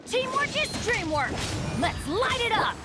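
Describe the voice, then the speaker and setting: A cheerful young adventurer calls out in short, punchy bursts, as in an anime game battle. The voice is very high and lively in pitch, full of energy, over loud music. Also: female voice, unhurried, heavily acted. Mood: eager. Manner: upbeat, punchy exclamations.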